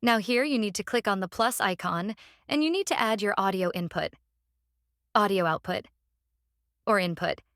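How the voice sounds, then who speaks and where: A woman hosting a casual step-by-step software tutorial, instructing plainly and often checking in with the listener. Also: mid-range voice, normal speed, pauses often, dry recording, clean studio sound. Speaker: tutorial host.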